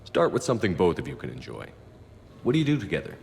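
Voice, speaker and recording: An actor playing a steady, supportive military commander in a video game, calm with a dry, wry humour. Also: male voice, deep voice, fast, vocal fry, long reverb tail, a little hiss or hum, full character voice. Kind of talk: video game dialogue acting.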